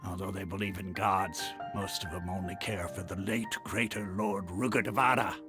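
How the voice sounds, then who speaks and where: An actor playing a frank, hearty older retired mercenary advisor in a video game, plain-spoken. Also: male voice, sits low, steady tone, moderate pace, over music, lightly acted. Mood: frank, explanatory.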